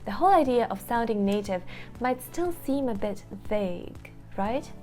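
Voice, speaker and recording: A woman English teacher speaks in an earnest, reflective way, addressing the viewer directly. Her voice sits somewhat high, and soft music plays faintly underneath. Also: animated, moderate pace. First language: Russian. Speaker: English teacher, course founder. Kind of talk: scripted promotional talk to camera.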